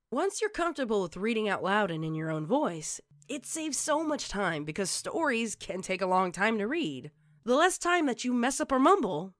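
A woman reading scripted tutorial narration in a measured, instructional way, like an online narrator presenting tips. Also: mid-range voice, no room sound, studio quality.

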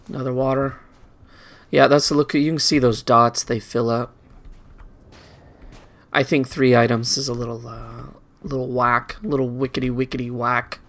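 A man's voice: mid-range voice, level tone, moderate pace, pauses often, fairly bright tone. A man giving casual video game commentary, rambling and muttering playfully to himself as he plays.

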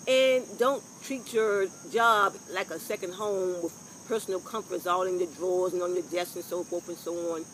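An older woman with a loud voice, giving frank, rambling workplace advice to a phone camera outdoors, with salty asides. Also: on the low side, fairly even intonation, normal speed, few pauses, slight background noise. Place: outdoors on a lawn by trees. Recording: faint insects and crickets outdoors.

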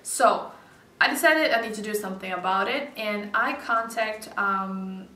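A woman's voice: medium pitch, measured pace, pushed and bright, a little hiss or hum. A young woman from Serbia, speaking English as a second language, chatting casually to the camera in a living room with many likes and little laughs. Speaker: beauty vlogger. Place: bright living room with sofa and plants. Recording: roomy echo, slightly distant mic.

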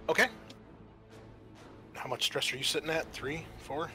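A man's voice: a little high, fairly even intonation, moderate pace, sharp tone, faint music behind. A man narrating a tabletop roleplaying game as game master, casual and thinking aloud as he goes.

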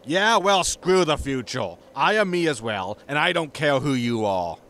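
An actor playing a cocky, quarrelsome spaceship captain, blunt, irritable and informal, in a science fiction comedy audio drama. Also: male voice, a little high, lively intonation, a little slow, a bit bright. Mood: indignant, defiant.

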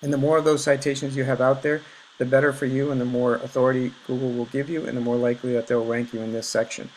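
A man working as a web designer and marketer, explaining search rankings in a casual, explanatory way with many filler pauses over a screen recording. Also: medium pitch, little pitch movement, a little hiss or hum, poor audio. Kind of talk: screen-share website review.

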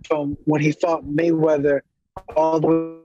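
A man's voice: fairly high pitch, monotone, a little slow, mellow, faint music behind. A young man, a boxer being interviewed, talking casually and repeating himself over earbuds on a video call. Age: young adult. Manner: easygoing, repeats phrases. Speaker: boxer guest. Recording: dull lo-fi call audio.

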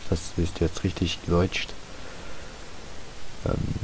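A fourteen-year-old boy with a slightly hoarse voice, giving casual, rambling, self-deprecating gaming commentary in slangy speech. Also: low-pitched, slow. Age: 14, teenager. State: slightly hoarse, sore throat. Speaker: gaming video host. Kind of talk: casual Let's Play commentary. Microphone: home computer microphone. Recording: hissy home microphone with steady noise.

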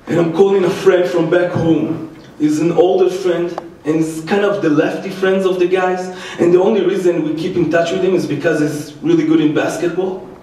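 A man's voice: fairly high pitch, warm tone. A man from Israel with an Israeli accent and Hebrew as his first language, telling a personal story to an audience as an activist speaker, recorded from a distance in a church. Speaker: activist speaker, former soldier. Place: large indoor church. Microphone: distant microphone. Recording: echoey church, distant mic, faint noise.

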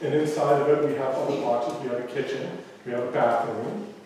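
A man's voice: medium pitch, fairly even intonation, fairly quick, darkish tone, slight background noise. A man in his thirties with a big voice and an Atlanta accent, giving a casual talk to an audience and asking them rhetorical questions. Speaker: guest speaker. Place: small hall used for church meetings, indoors. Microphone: far camera, room audio. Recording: echoey hall from far off.

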